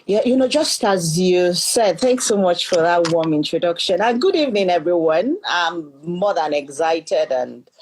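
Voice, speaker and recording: A woman's voice: lowish voice, lively intonation, moderate pace, few pauses, a little mellow, a little hiss or hum. A middle-aged Nigerian woman, a leadership coach, earnestly telling her life story as a podcast guest and often repeating words as she thinks. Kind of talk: storytelling in an interview.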